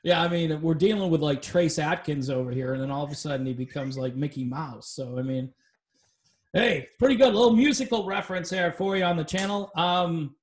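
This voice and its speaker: A man's voice: fairly high pitch, a little fast. A man hosting a casual political podcast chat, talking loosely and wandering off on tangents. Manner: loose, rambling, tangent-prone. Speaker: podcast host.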